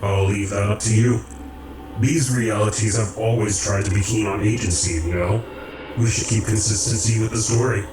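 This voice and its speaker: A man in a radio drama playing a calm, commanding creator of realities who speaks in measured, formal, cryptic phrases. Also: lowish voice, noisy background, natural voice. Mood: firm, commanding. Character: calm, commanding cosmic creator, male, cryptic philosophical overseer. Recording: roomy echo, distant, music underneath.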